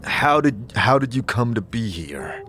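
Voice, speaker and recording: A man's voice: mid-range voice, sing-song, measured pace, bright, forceful tone, soft background music. A man playing a wary, curious captive adventurer in a fantasy audio drama, asking questions hesitantly. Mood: cautious.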